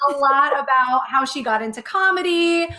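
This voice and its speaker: Bright high-voiced woman co-host. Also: lively intonation, moderate pace. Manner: upbeat, introduces guest. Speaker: podcast co-host. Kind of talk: casual chat.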